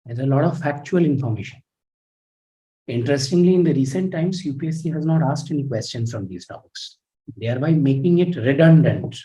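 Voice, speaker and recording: Soft-voiced Indian man teaching history. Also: lively intonation, low-quality recording. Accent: Indian English. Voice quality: low, soft voice. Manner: measured, instructive, reads out student comments. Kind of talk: live online lecture.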